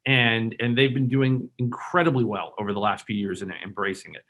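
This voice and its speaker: A man's voice: medium pitch, steady tone, a little fast, a bit bright. A man who grew up in Hong Kong, speaking as a bank executive on a webinar panel, earnest and persuasive with many restarts and "you know" fillers.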